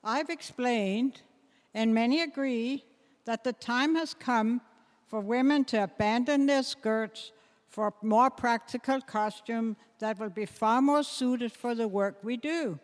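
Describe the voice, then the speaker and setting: Woman acting practical 1840s dress reformer, outspoken, measured, unhurried, stage reading, reverberant hall. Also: a little high, lightly acted. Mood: self-assured. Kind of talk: staged readers theater performance.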